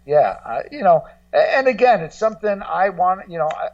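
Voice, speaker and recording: A man chatting casually in an interview, rambling and blunt, often halting and repeating words. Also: high voice, animated, darkish tone, no room sound. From Los Angeles, United States. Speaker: guest co-host, documentary maker. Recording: dull, muffled, slightly distant sound.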